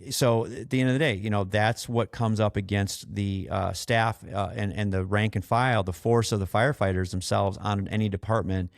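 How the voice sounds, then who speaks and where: Man, fairly deep voice, dry studio sound, podcast commentary, earnest, explanatory, halting with repeated phrases. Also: fairly even intonation, creaky, no echo. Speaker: podcast host and experienced firefighter.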